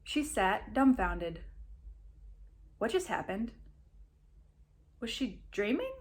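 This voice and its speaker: A woman reading a story aloud as an expressive narrator. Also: a little high, takes it slowly. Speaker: reader of a story.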